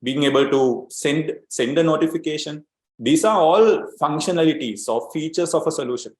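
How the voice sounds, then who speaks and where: Sri Lankan-born agile coach, echoey room. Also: male voice, on the high side, moderate pace. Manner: steady explaining, frequent "um" and "uh" fillers, repeats words. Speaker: agile coach leading a webinar. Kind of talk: online training webinar presentation.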